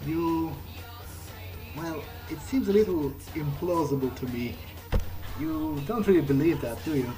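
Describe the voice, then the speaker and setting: Male gamer, role-playing aloud in a playthrough: tentative, chatty questions, with clear music behind the voice. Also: fairly high pitch, unhurried, rough recording. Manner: hesitant, questioning, conversational. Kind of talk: in-character improvised replies in a game playthrough. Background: game music.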